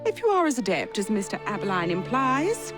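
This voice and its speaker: A woman's voice: mid-range voice, very animated, brisk, over music, close to an everyday voice. A woman acting a regal British queen in a video game, formal, commanding and gracious, with an English accent. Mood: stately.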